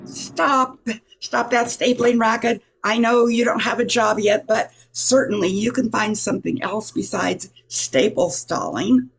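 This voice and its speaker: An imperious female boss in an old-time radio comedy, acted out by a woman. Her voice sits fairly high and is raspy, full of creak, as she demands things of her staff. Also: a little slow, heavily acted. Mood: imperious, irritated.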